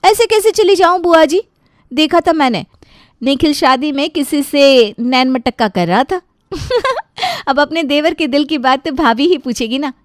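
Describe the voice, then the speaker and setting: A woman voicing a cheeky, chatty young bride in an animated family story, teasing and playful. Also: high-pitched, measured pace, no echo, studio quality. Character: cheeky, chatty young bride who fusses over her in-laws.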